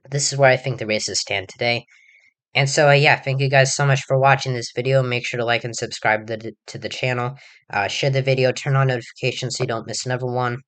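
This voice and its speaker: A hoarse young man giving a casual election prediction monologue, rambling with many fillers and restarts. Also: mid-range voice, steady tone, fast talker, a bit bright. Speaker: political commentator.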